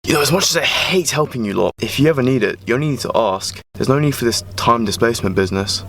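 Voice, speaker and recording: A man's voice: mid-range voice, lively intonation, fast, fairly bright tone. A man playing a dry, sarcastic, reluctant alien time traveller in a parody audio drama. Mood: dry, grumbling.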